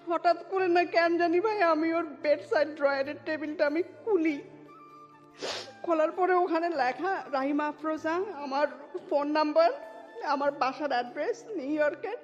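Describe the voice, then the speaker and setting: High-pitched emotional woman. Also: normal speed, lo-fi sound, faint music behind. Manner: emotional, code-switching with English words. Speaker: guest telling her life story. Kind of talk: personal storytelling in an interview.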